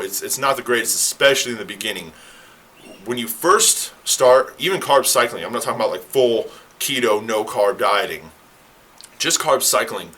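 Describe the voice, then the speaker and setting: A man who is a fitness coach, talking casually to the camera in a rambling, self-correcting way. He sounds slightly hoarse. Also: on the low side, bright, forceful tone. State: slightly hoarse, clearing throat. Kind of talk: casual explainer talk to camera.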